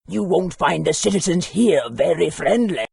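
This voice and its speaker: Raspy, high-voiced scholarly fantasy historian. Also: male voice, animated, a little mellow, no room sound, studio quality, exaggerated voice. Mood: measured. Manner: polite, measured, scholarly. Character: courteous bookish male town historian in fantasy.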